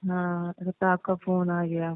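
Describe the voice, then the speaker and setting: Woman playing hesitant young daughter-in-law, flat phone voice. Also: fairly low pitch, smooth, no room sound. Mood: shy, evasive. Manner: hesitant, halting, bashful. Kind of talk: staged phone-call audio drama in everyday conversational speech. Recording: muffled narrow-band phone-line sound.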